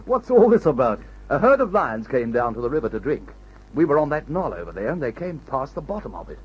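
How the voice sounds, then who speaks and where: Young pilot, earnest, husky, lively pitch, old muffled radio show. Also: male voice, fairly quick. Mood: amused, matter-of-fact. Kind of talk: mid-20th-century radio adventure serial acting.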